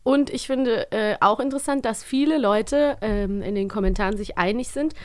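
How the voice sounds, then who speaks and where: Giggly woman with a high voice. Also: normal speed. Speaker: podcast co-host. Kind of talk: casual chat about audio dramas.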